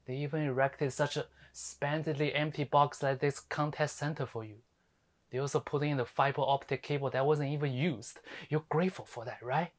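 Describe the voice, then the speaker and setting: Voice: mid-range voice, fairly even intonation, normal speed, natural voice. An actor playing a sardonic, fiery lawyer in a theatrical monologue, scolding with scathing rhetorical questions. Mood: quiet sardonic storytelling. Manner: scathing, rhetorical, swinging from hushed to ranting. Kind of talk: theatrical dramatic monologue performance.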